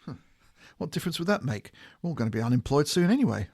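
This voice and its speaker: A man's voice: a little high, big pitch swings, fast, gravelly, a little mellow, slightly rough, exaggerated voice. Man acting a playful shop worker, swooping delivery. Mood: bored, restless. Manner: playful, teasing. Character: chatty, teasing male shop worker, easily bored. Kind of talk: audio drama dialogue.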